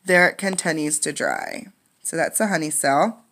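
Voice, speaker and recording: A woman's voice: sits low, sing-song, normal speed, a bit bright, slight background noise. A woman teacher reading a children's nonfiction book aloud, adding explanatory asides for young listeners.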